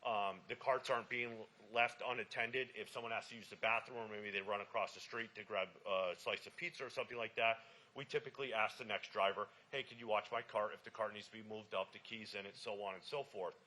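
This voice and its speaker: A very bright, forceful male voice with a naturally loud delivery, pitched a little low. He tends to lean off the podium mic, so the level keeps dipping. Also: fairly even intonation, fast. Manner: loud voice, speaks away from the mic. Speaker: public commenter, shuttle cart driver. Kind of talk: public comment at city meeting. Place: city commission chamber. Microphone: podium microphone, often off-mic.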